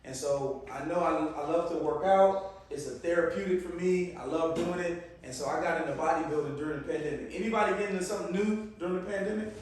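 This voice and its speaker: A man's voice: a little high, fast talker, darkish tone. A man in his forties from Detroit, giving a casual, slangy talk to a youth group and calling on the crowd. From Detroit, Michigan. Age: 40s. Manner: conversational, calls on the crowd, slangy. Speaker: youth speaker. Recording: echoey room, distant mic.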